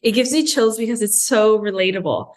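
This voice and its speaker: American woman guest with mid-pitched voice. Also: a little fast. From San Francisco, United States. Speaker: podcast guest, health educator. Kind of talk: conversational personal storytelling.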